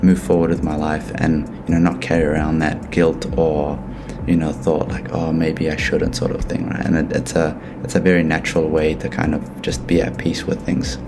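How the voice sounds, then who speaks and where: A young man from New Zealand chatting casually on a video call, relaxed and rambling with lots of fillers. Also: rumbling low, normal speed, gravelly, background noise. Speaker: program participant.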